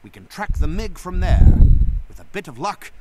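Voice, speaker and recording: An actor voicing a cocky, fast-talking small gnomish inventor in a video game, pitching like a showman. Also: lively intonation. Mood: determined. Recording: very noisy game capture with clicks.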